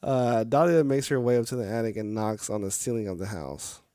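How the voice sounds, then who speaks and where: A man's voice: lowish voice, fairly quick, dark tone, clean tone, dry recording, clean studio sound. Casual male streamer narrating a game. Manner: casual, reactive. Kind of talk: live let's play, reading story aloud with reactions. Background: music.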